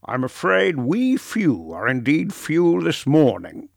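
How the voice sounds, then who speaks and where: A man voicing a thoughtful middle-aged professor who speaks in a measured, deliberate way. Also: fairly high pitch, wildly swooping pitch, gravelly, no echo, studio quality, pitched above the performer's own voice, heavily acted. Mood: welcoming.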